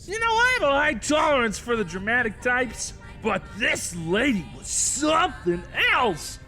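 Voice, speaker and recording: A woman's voice: on the high side, very animated, unhurried, pushed and bright, soft background music, full character voice. A woman playing an eager, oblivious crypt minion in a comic horror audio drama, chirpy and blithely unbothered. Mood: chipper, oblivious.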